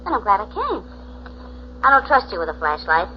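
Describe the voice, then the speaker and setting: A woman's voice: medium pitch, very animated, brisk, lightly acted. An actress playing a spirited, teasing female sidekick of a sleuth, sassy and playful, in 1940s radio detective style. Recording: dull, noisy 1940s radio recording.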